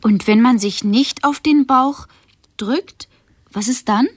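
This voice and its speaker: A woman's voice: a little high, slow, husky, no room sound. An actress playing a puzzled woman who questions insistently and naively in a radio play.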